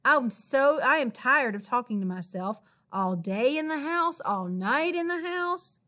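A woman's voice: high-pitched, expressive, a little slow, sharp tone, full character voice. A woman voicing a lonely, spirited little old lady from a children's storybook, exclaiming and talking to herself. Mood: friendly.

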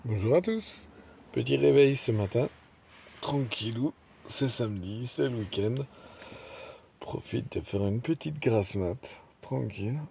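A man's voice: mid-range voice, expressive, unhurried, vocal fry, dark tone, husky. A young man chatting casually and drowsily to his phone while lying in bed in a small camper. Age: young adult. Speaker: vlogger. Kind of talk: casual morning vlog chat. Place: small camper bedroom, indoors. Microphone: phone at arm's length.

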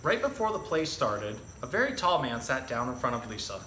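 A man in his thirties with a bright, forward voice at an average pitch presents to children in a casual, plain-spoken way. He explains and reads as a zookeeper host would. The room is echoey, with faint noise behind him. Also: sing-song, a little fast. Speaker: zookeeper presenting an animal storytime. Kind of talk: casual educational talk and picture-book reading for children. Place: indoor room with hard walls and tiled floor.

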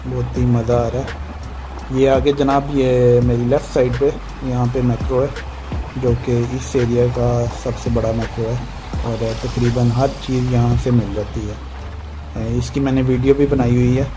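A man's voice: mid-range voice, little pitch movement, a little slow, background noise. A man giving casual motorbike vlog commentary, pointing out passing sights to viewers. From Pakistan. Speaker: motorbike vlogger. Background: music, vehicle sound.

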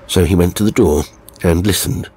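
A man narrating a horror story in a measured, grave, literary storytelling style. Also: low-pitched, darkish tone, a little hiss or hum. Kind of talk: scripted dramatic storytelling narration.

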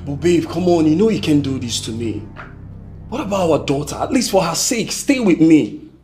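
A man acting as a husband and father who pleads with his wife and then confronts her loudly. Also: fairly high pitch, animated, moderate pace, faint music behind, natural voice. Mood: pleading. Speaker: actor.

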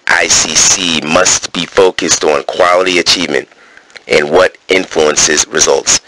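A man's voice: sits low, steady tone, unhurried, pushed and bright, no echo, rough recording. A man reading coaching points aloud in a wrestling gym, breaking off into casual summaries with fillers. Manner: alternates terse read-out points with casual asides full of fillers.

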